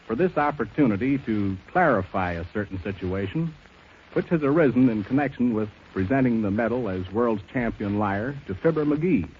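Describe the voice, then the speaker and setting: A man's voice: on the low side, slight background noise. Male guest speaker for vintage 1930s radio. Oratorical and mock-solemn, widely swinging intonation, muffled recording. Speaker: guest speaker, club president. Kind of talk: formal mock-serious speech on 1930s radio.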